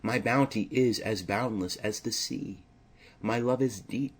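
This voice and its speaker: A man voicing a smitten, anxious young maiden in earnest, measured Shakespearean verse, as in an audio drama. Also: lowish voice, husky. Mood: loving.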